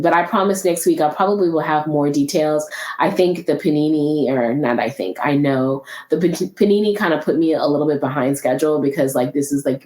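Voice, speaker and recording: A woman's voice: low-pitched, darkish tone, roomy sound. A woman hosting her own podcast, talking alone in an earnest, encouraging way, and sounding nasal from congestion. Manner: earnest, encouraging, repeats herself. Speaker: podcast host. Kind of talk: solo motivational podcast talk.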